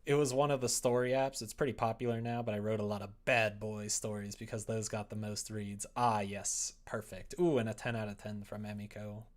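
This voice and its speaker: A man's voice: on the low side, level tone, fairly quick, hardly any pauses. Young man chatting casually on a livestream. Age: young adult. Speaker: streamer. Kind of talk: casual livestream chat and reading aloud.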